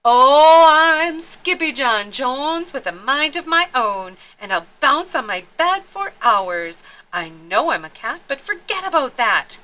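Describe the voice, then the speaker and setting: A woman's voice: sits high, animated, slow, hardly any pauses, bright, forceful tone, pitched above the performer's own voice, heavily acted, poor audio. A woman voicing a playful, mischievous little boy kitten in a storybook, with a bouncy singsong delivery. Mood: defiant, playful.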